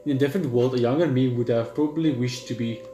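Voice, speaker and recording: A man hosting a gaming video reads on-screen story text aloud, haltingly, repeating words and adding casual asides. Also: medium pitch, dark tone, a little hiss or hum. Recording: echoey room with faint game music. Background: game music.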